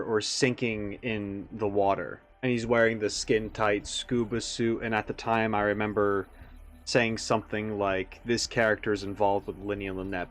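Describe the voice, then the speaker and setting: A man hosting a reaction video with casual commentary, stressing words theatrically and pausing for emphasis. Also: fairly low pitch.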